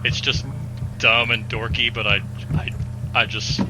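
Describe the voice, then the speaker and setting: A man in his twenties talks casually over clearly audible background music. He has a mid-pitched voice and an animated, hyperbolic way of joking, like someone hosting a relaxed podcast. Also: a little slow, rough recording. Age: 20s.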